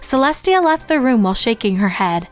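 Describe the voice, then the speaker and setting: A woman's voice: a little high, very animated, fairly quick, soft background music. A woman reading scripted fan fiction as a story narrator.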